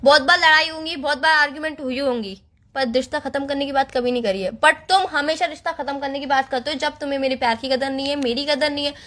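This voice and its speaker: A woman's voice: high voice, quick pace, a bit bright. A young woman playing a stubborn, teasing girlfriend in a staged phone-call argument, cutting in and repeating herself. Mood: hurt, indignant.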